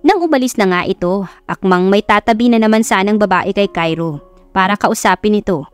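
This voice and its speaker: A woman's voice: medium pitch, a little fast, smooth, faint music behind. A woman with a Filipino accent reading a scripted romance story as an audiobook narrator.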